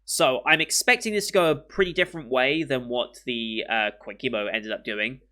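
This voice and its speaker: A young British man talks casually to his viewers with a bright, forward voice and a slight sniffle from a coming cold. He rambles, backtracks and fills gaps with ums as he thinks aloud. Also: mid-range voice, moderate pace. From Britain. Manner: rambling, with many ums and restarts. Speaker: anime reaction host. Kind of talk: casual chat to camera.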